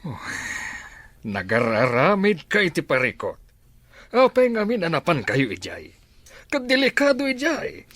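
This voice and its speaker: A man's voice: fairly high pitch, wildly swooping pitch, unhurried, long pauses, fairly bright tone, no echo, full character voice. A man with an Ilocano accent playing a stern, exasperated middle-aged father in a radio drama, exclaiming and scolding.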